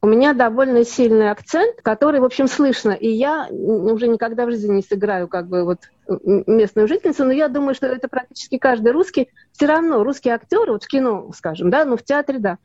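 A woman raised in Russia tells her story in a relaxed, rambling way, filling her pauses with hesitations. Her voice sits a little high and sounds mellow. The recording is dry and dull, as if from a modest mic. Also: dry recording. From Russia (Leningrad). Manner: rambling, many fillers and restarts. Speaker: actress and dubbing artist, guest. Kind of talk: casual interview talk.

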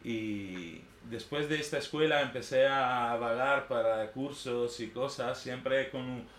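A man's voice: mid-range voice, slow pace, a bit bright. A man in his thirties from northern Italy, a native Italian speaker with an Italian accent, talking informally and unscripted in a hesitant, rambling way, often tagging phrases for agreement. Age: 30s. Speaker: artist giving a talk. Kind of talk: informal unscripted personal talk. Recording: echoey room with faint background noise.

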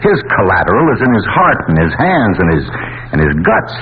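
Earnest american ex-soldier banker, 40s radio drama, lowish voice, tinny with some hiss. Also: male voice, huge pitch swings, fairly quick. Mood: earnest, insistent. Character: earnest middle-aged war veteran turned bank loan officer. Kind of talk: 1940s radio drama acting. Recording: tinny, noisy old radio broadcast.

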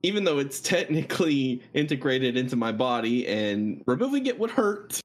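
A man playing a tabletop game, recounting what his character did in deliberate, measured phrases. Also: mid-range voice, big pitch swings.

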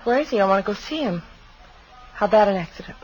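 A woman playing an American policeman's wife in a 1950s radio drama, expressive and plain-spoken. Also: mid-range voice, fast, highs cut off, natural voice. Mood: anxious. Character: policeman's wife, friendly hostess turning anxious. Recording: old radio broadcast, some noise.

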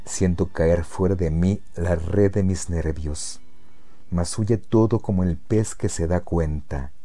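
A man reciting poetry in a solemn, measured and deliberate manner, with pauses between phrases. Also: low-pitched, steady tone, mellow.

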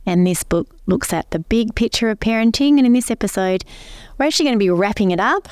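A woman co-hosting a faith podcast, explaining points at length in a steady way. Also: medium pitch, fast, no echo, studio quality. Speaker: podcast co-host, pastor. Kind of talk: casual faith podcast chat.

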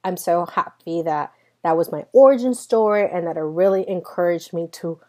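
Young woman in her 20s, spanish her first language, talking solo on her podcast, kinda low voice, earnest and direct. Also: normal speed, darkish tone. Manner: earnest personal storytelling, addresses listeners directly. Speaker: podcast host.

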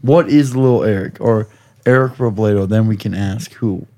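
A young man hosting a podcast, hoarse from screaming, talking in a rambling, profane, jokey way. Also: lowish voice, moderate pace, warm tone, no echo. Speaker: podcast host. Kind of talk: casual chat.

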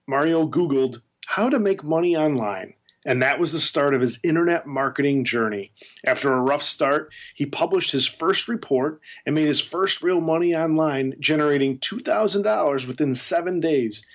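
Cohost guy, higher voice, reading the guest bio all upbeat. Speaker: podcast co-host.

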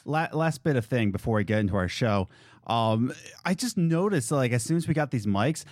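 Male podcast co-host, casual, lively pitch jumps, dry close-mic sound. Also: normal speed, dry recording. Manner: swings between low easygoing talk and high excited bursts. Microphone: studio podcast mic.

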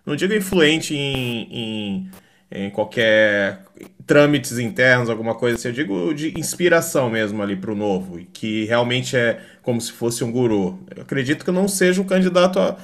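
A man's voice: medium pitch, lively intonation, normal speed. A man interviewing a candidate, posing long questions that he keeps qualifying. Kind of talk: interview conversation.

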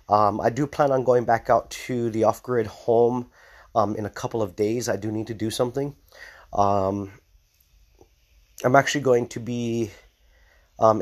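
A man's voice: fairly low pitch, darkish tone. A man with a stuffy head cold giving a casual video update about his off-grid projects, rambling with lots of ums and "right?" tag questions. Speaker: off-grid homesteading vlogger.

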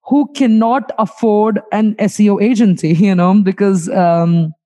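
A woman's voice: medium pitch, fairly even intonation, unhurried, mellow. A woman hosting an interview over a video call, a small business owner who chats freely, laughs often and repeats words. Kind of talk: casual interview talk.

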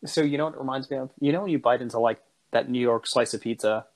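A man's voice: mid-range voice, fast talker. Enthusiastic man chatting casually. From United States. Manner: rambling, self-correcting, enthusiastic. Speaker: podcast co-host. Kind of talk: casual chat.

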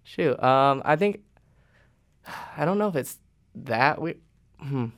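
A young man from Venezuela, a college student guest who talks relaxed and thinks aloud. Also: medium pitch, slow pace. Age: young adult. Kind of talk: casual chat.

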